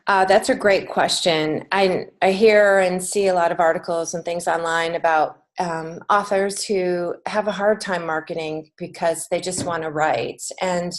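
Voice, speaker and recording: A middle-aged American woman with a fairly low voice talks as a guest in an interview. She explains things in an earnest, conversational way and leans on key phrases for emphasis. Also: steady tone, a little slow, hardly any pauses. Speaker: podcast guest, children's author and teacher.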